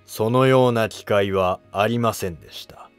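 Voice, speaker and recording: A man voicing a wily court minister, formal, measured and evasive, in a historical drama animation. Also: fairly low pitch, clean tone, faint music behind, close to an everyday voice. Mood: guarded, wily.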